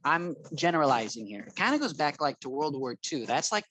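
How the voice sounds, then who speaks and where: Medium-pitched man, rambling casual explainer. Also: a bit bright, no room sound, rough recording. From Thailand. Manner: rambling, tentative, hedged explanations. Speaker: chat participant. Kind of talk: casual chat, explaining ideas.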